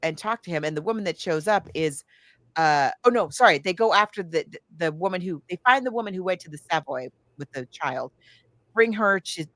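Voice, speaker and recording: A woman podcast host with a slightly low voice, recorded close and dry. She talks casually, rambling through a retelling as if chatting with a friend. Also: expressive, brisk, a bit bright, no room sound. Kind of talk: casual chat recounting a film plot.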